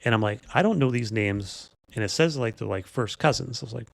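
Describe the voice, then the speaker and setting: Male podcast host, creaky voice, close dry sound, informal rambling chat. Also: mid-range voice, fairly quick, no room sound. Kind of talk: casual unscripted podcast chat.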